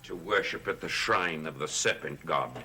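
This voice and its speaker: Coven patriarch: deep, forceful, swinging delivery, cold and ambitious, gothic soap drama. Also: male voice, normal speed, a little hiss or hum, full character voice. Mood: commanding. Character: domineering, ambitious male coven leader, cold father. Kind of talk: gothic soap opera acting.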